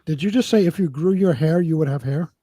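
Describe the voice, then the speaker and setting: A man with a fairly high voice chats as a co-host. He is wry and teasing, often stalling mid-phrase, and the sound is close and dry. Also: quick pace, dark tone, no echo. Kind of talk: casual chat.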